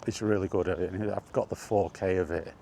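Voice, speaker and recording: A man chatting casually on his own, enthusiastic but halting, with frequent ums and restarts. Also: low-pitched, steady tone, vocal fry, mellow, slight background noise. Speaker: vlogger. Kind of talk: casual rambling monologue. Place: outdoors, walking.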